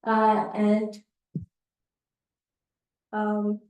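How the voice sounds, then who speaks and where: Slow, hesitant Tongan girl in echoey room. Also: medium pitch, monotone, darkish tone, far from the mic. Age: teenager. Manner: slow, hesitant, many fillers. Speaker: student speaker. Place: live event hall.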